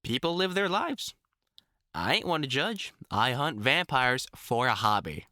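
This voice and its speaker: A man's voice: medium pitch, very animated, measured pace, bright, forceful tone, dry recording. A man playing an older, experienced vampire hunter in an audio roleplay, talking informally and reassuringly like a mentor, with dry jokes and mild swearing. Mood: accepting, relaxed. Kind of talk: intimate one-sided audio roleplay, conversational acting.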